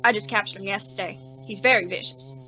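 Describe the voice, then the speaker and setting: A woman's voice: medium pitch, lively intonation, quick pace, sharp tone, poor audio. A woman playing a timid, bookish young man made sheriff, with a hesitant, stammering, anxious manner, in an old-time western radio drama. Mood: shy, earnest. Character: timid, bookish young male sheriff, nervous insect collector.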